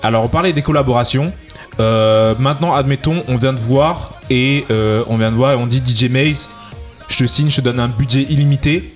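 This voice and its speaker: A man's voice: mid-range voice, brisk. Male show host, mid-range voice, loose rambling interviewer, light music underneath. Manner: rambling questions with fillers. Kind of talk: casual interview.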